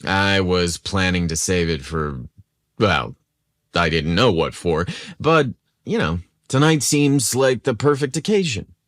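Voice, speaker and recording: A rugged yet slightly shy construction manager , played by a male narrator in a romantic audio story. His voice is low and soft, hesitating as he flirts. The recording is dry and close. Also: lively intonation, a little slow, no echo. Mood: casual, flirty.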